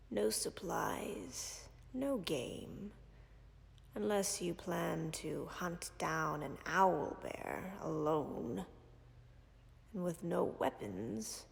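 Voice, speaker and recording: Slow husky-whisper dragon lady, a woman doing her, gravelly and a bit low, dry and commanding, audio roleplay. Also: big-room sound, exaggerated voice. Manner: measured, formal, dryly commanding.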